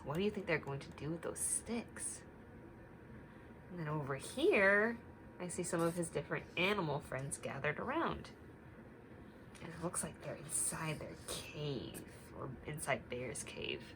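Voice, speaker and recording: A woman teacher reading a picture book aloud to young children, instructive and deliberate, stopping to put questions to them. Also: sits low, fairly bright tone, a little hiss or hum.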